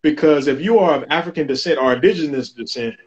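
A man in his forties explaining family history on a video call, steady and instructive, often checking in with "okay?". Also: fairly high pitch, quick pace, dull audio. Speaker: genealogy guest. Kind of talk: explaining in an interview. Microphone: webcam on video call.

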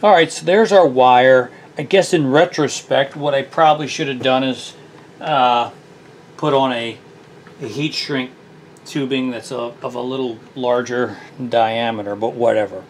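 A middle-aged man with a mid-pitched voice talks his way through a repair at a workbench. He is relaxed and self-deprecating, and he often restarts his sentences as he works. The camera mic picks up his voice close to his hands, with a faint hum of the room behind him. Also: a little slow. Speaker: small engine repair host. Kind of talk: casual hands-on repair tutorial. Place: workshop bench, indoors.

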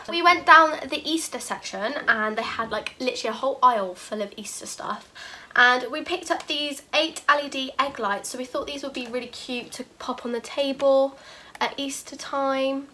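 Bright, bunged-up British woman gushing. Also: high voice, a little hiss or hum. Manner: gushing, repeats "really, really cute". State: bunged up with a cold. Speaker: haul vlogger. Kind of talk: casual chat to camera. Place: bedroom, indoors.

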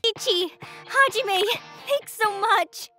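Woman voicing a high-pitched, earnest teenage girl. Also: takes it slowly, over loud music. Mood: sincere. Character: earnest, easily flustered teenage girl team manager. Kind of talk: manga dub character acting.

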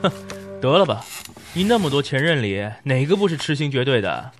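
A man's voice: medium pitch, sing-song, fairly quick, natural voice. A man playing a chummy, teasing young finance manager in an audio drama, with playful delivery. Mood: amused, teasing. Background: background music.

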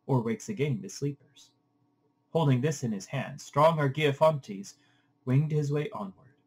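A man with a mid-pitched, somewhat husky voice reads epic poetry aloud. His delivery is that of a literary narrator, expressive and formal in its phrasing. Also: low-quality recording. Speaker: audiobook reader.

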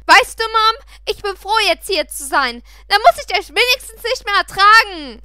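A woman's voice: very high voice, lively intonation, normal speed, pushed and bright, dry recording, clean studio sound, pitched above the performer's own voice, heavily acted. A woman voicing an angry, defiant sixteen-year-old girl in an audiobook, sarcastic and confrontational. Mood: furious.

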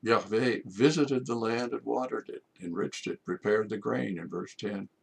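An older man, a priest, delivering a measured homily from his study, with rhetorical questions. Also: lowish voice, vocal fry, husky. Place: home study with bookshelves.

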